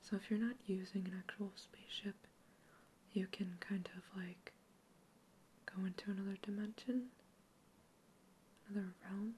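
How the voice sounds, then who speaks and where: A woman whispering in an ASMR roleplay as a polite human researcher questioning a captive alien, gently curious and reassuring. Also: medium pitch, steady tone, normal speed, pauses often, a little hiss or hum, natural voice. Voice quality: soft whisper throughout.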